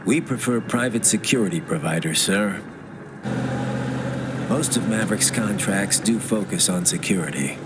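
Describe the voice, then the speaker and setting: An actor playing a respectful, composed military contractor, deferential and matter-of-fact, in a video game cutscene. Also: male voice, on the low side, animated, normal speed, very noisy, close to an everyday voice. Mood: polite, composed.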